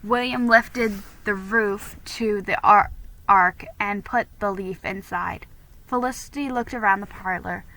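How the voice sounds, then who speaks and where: A woman giving an expressive, deliberate dramatic reading of a children's story. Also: mid-range voice, low-quality recording. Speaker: reader.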